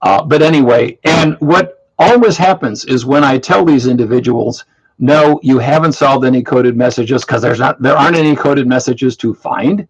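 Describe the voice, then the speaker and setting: Blunt man in his seventies, husky. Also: mid-range voice, normal speed. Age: 70s. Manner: blunt, dismissive, repeats himself. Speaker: host. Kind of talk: casual monologue to an online audience. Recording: muffled lo-fi audio.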